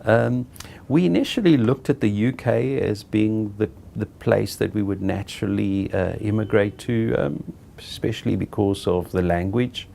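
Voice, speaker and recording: A middle-aged South African man being interviewed, telling his own story in an unhurried, halting way and often correcting himself. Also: lowish voice, creaky, mellow, slight background noise. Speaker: interviewee, property director. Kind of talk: reflective personal storytelling.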